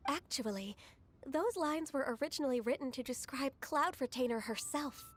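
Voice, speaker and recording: A woman playing a gentle, studious young half-immortal assistant in a video game, measured, polite and earnest as she explains. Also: sits high. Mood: explanatory. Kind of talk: scripted game dialogue. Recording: faint game music behind.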